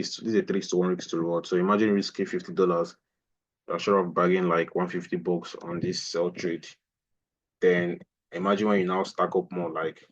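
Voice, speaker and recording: A man's voice: low voice, slightly rough, lo-fi sound. A man teaching a live online trading lesson, explaining a price chart and repeating phrases as he points things out. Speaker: trading instructor.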